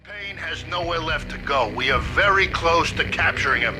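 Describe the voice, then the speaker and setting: An actor playing a curt, self-important deputy police chief in a video game, speaking in a brusque, official way. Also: male voice, on the high side, animated, moderate pace, music in the background, natural voice. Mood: impatient, dismissive. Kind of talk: video game cutscene voice acting.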